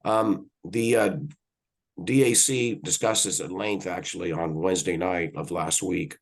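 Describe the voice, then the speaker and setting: A hoarse man, a board director, giving rambling comments at a public meeting and clearing his throat. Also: low voice, steady tone, measured pace, rough recording. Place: council meeting room.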